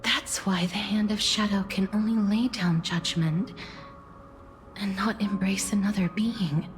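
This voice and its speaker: A woman voicing a quiet, earnest young heroine in a game, with a gentle, hesitant, breathy delivery. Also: mid-range voice, steady tone, a little slow, faint music behind, close to an everyday voice. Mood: quietly resolute. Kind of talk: scripted game character voice acting.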